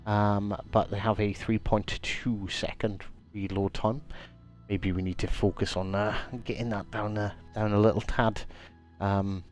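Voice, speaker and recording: Guy streaming a game, bit stuffy from a cold, lowish voice, chatty, says hi to chat a lot, quiet music behind. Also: level tone, unhurried. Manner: chatty, greets viewers by name. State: slightly congested with a cold. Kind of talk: casual live-stream commentary.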